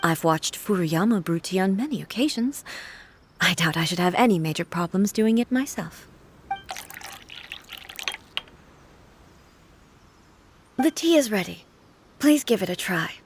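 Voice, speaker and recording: An actress playing a solemn, regal warrior deity in a video game, grieving yet composed, with formal, measured phrasing and a soft, breathy voice. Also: female voice, medium pitch, lively intonation, slight background noise, natural voice. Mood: reflective. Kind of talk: video game story dialogue.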